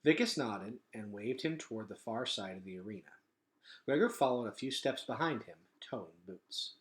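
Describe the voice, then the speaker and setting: A man reading a children's novel aloud as narrator, in an expressive storytelling style. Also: mid-range voice, reverberant. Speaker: read-aloud reader.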